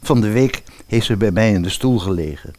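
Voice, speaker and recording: A man playing a doting middle-aged father in a fairy-tale radio play, gentle, chatty and well-meaning. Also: on the low side, lively intonation, brisk, no room sound, natural voice. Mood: admiring.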